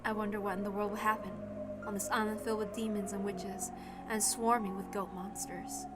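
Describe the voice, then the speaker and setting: A woman voicing a resigned, dispassionate woman in a story reading, speaking in a measured, calm way. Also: mid-range voice, loud music behind the voice, natural voice.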